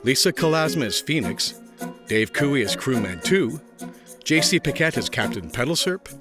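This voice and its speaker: A medium-pitched man delivers scripted credits as a show announcer. Music plays clearly underneath his narration. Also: sing-song, moderate pace.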